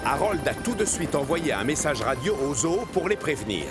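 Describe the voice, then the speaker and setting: A man with a slightly high voice tells a children's story as narrator. Clear music plays underneath him. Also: very animated, normal speed.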